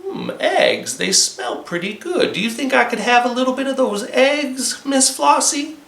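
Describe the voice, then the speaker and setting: A man's voice: extremely high pitch, animated, a little slow, vocal fry, a bit bright, voice pushed up, full character voice. A man voicing a sly, pompous, easily offended male fox with formal, genteel speech in a children's story. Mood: wheedling, sly. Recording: roomy, echoey sound.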